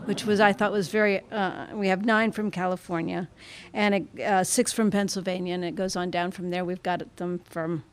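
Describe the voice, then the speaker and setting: A woman giving an informal report at a meeting into a microphone, speaking in a tentative, halting way. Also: on the low side, steady tone. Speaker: club member giving a report.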